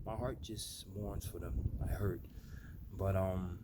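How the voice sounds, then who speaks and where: A man's voice: deep voice, fairly even intonation, takes it slowly. An American man talking earnestly and reflectively to his viewers in a casual motivational monologue. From United States. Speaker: vlogger. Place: outdoor backyard. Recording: noisy amateur recording with ambient noise.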